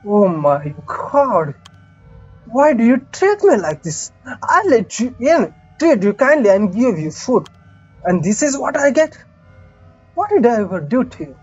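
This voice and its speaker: A streamer puts on a touchy visual novel hostess who takes offence easily. Her delivery is theatrical and indignant, pitched up and deliberate in pace. The sound is slightly muffled with music underneath. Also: sing-song, slightly rough. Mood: outraged. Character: volatile, easily offended lady dinner host.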